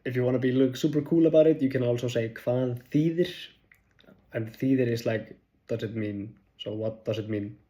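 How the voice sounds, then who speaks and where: A young man talks through language-learning tips to camera, chatty and self-deprecating, trailing into fillers and restarts. His voice sits in a mellow, relaxed register at a middle pitch. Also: moderate pace, lo-fi sound. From Iceland. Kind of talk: casual talking-head tips video.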